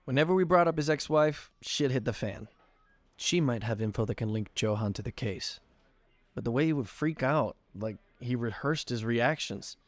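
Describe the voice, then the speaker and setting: A man's voice: medium pitch, animated, moderate pace, dry recording, lightly acted. A man playing a firm, probing police commissioner in an audio drama, with direct, pointed questioning. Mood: stern, probing.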